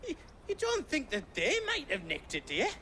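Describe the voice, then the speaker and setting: An actor playing an anxious, bumbling trader in a video game, with a Northern English accent and a flustered, stammering way of talking. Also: male voice, extremely high pitch, huge pitch swings, normal speed, bright, forceful tone, slight background noise, heavily acted. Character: anxious, bumbling, soft-hearted young trader.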